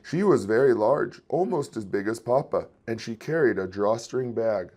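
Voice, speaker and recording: Man reading aloud with very lively pitch. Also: moderate pace. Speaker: children's book reader. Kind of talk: expressive read-aloud storytelling.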